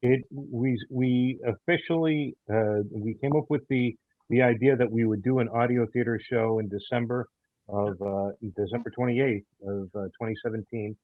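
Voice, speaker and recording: A man talking in an interview as the creator of an audio theater show, in a halting way that often repeats words and phrases. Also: medium pitch, steady tone, no room sound. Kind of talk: conversational interview talk. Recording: thin phone-like sound.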